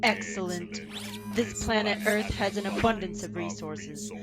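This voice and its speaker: A woman plays a cold alien conqueror in an audio drama. Her medium-pitched voice is calculating and scheming, set against loud music. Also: fairly even intonation, measured pace, creaky. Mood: cold, gloating.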